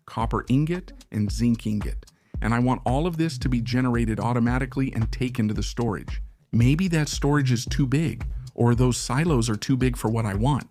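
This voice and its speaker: Hoarse, gravelly man thinking aloud. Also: mid-range voice, darkish tone. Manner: thinks aloud, unsure and musing. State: hoarse from being sick. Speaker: gaming channel host. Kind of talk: casual gameplay commentary.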